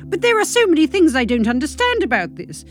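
A woman's voice: high-pitched, big pitch swings, a little fast, faint music behind, voice pushed up. A woman voicing a mopey middle-aged male ghost, a self-pitying ex who sulks about being unwanted, in a comic storybook reading.

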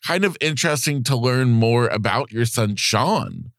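Male podcast host, mid-pitched, thoughtful interviewer, dry close sound. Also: lively intonation, unhurried, no room sound. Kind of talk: interview conversation.

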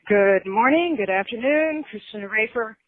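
A woman's voice: a little high, lively intonation, normal speed, no room sound. A woman leaving a casual voicemail as a listener of the show, over the phone. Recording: tinny narrow phone line.

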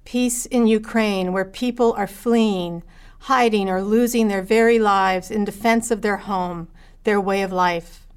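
A woman leading a solemn spoken prayer for peace in a measured, rhythmic way. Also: mid-range voice, steady tone, clean tone. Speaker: prayer leader.

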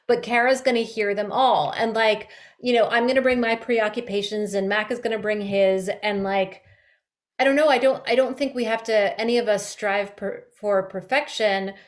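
A woman's voice: fairly high pitch, moderate pace. A woman in a reflective, informal conversation, thinking aloud as a director and producer. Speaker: audio drama director and producer.

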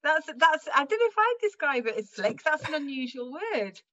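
A woman's voice: high voice, very animated, normal speed, bright, forceful tone, dull audio. A woman from Wales chatting casually in an interview, laughing often and restarting her sentences as she talks. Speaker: guest improviser.

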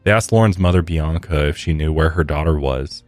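A man with an extremely deep voice hosts a true crime podcast. He speaks conversationally and matter-of-factly, measured but with casual asides, over music in the background. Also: a little mellow, dry recording. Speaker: podcast host.

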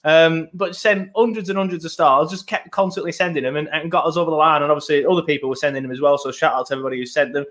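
Higher-pitched British man, matey podcast host. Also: steady tone, racing, few pauses, fairly bright tone. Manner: rambling, matey. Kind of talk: casual chat.

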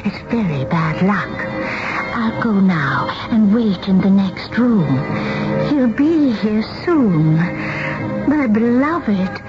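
Slow, dreamy deluded spinster bride. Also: female voice, medium pitch, animated. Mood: dreamy, tender. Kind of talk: radio drama acting. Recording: muffled old radio broadcast with music.

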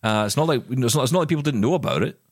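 A man's voice: medium pitch, lively intonation, rapid-fire, no echo, clean studio sound. A middle-aged man co-hosting a podcast, talking in an impassioned, emphatic way with plenty of restarts. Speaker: podcast co-host. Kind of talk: casual chat.

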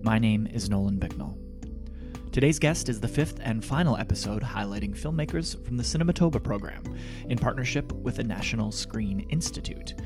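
A man hosting a podcast, reading a scripted intro and then asking relaxed, easygoing interview questions. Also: fairly low pitch, brisk, music in the background. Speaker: podcast host.

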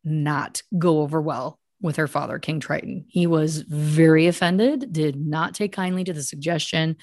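Woman podcast storyteller, lower-pitched, dry recording. Mock-serious, emphatic, chatty true-crime delivery with asides. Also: normal speed, a little mellow, no room sound. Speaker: podcast host telling a story. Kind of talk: conversational satirical true crime retelling.

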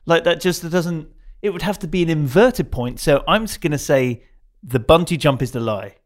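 A chatty man hosts a relaxed interview, teasing his guest and running off on playful tangents. His talk is quick and conversational, full of asides. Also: on the high side. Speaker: podcast host. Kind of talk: casual interview chat.

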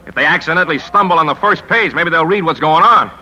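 Forceful, sharp-voiced cynical newspaper editor. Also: male voice, fairly high pitch, quick pace, low-quality recording. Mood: bitter. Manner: punchy, sardonic, world-weary. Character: hard-driving, cynical newspaper editor, weary and bitter. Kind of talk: 1950s radio drama acting.

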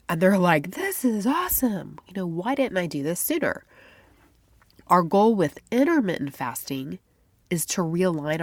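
Woman, low voice, stuffed-up nose, chatty podcast host, dry close sound. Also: dry recording. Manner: chatty, folksy, with many fillers like "I mean" and "you know". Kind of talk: casual podcast chat.

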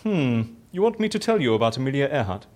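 A man's voice: mid-range voice, very animated, fast, darkish tone, natural voice. A man acting in an audio drama as a bored, boastful, theatrical raconteur who talks chattily and self-importantly. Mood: playful. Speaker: lead character in audio fiction.

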